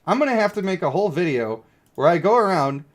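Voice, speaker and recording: A male game streamer with a slightly high voice talks casually to his viewers. He is blunt and opinionated, with an exasperated, ranting way of commenting. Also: sing-song, fast.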